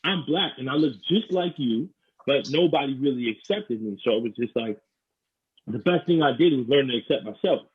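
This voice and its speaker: A man's voice: medium pitch, animated, moderate pace, breathy edge. A young man from New York hosting a podcast, talking earnestly and often saying "you know". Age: young adult. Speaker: podcast host. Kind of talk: podcast conversation. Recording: tinny phone-like line.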